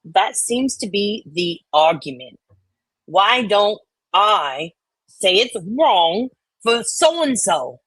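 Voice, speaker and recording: A woman bantering casually and reading online comments out loud in a blunt, sweary, folksy way. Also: medium pitch, sing-song, very slow, slightly rough, no room sound. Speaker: co-host reading comments aloud.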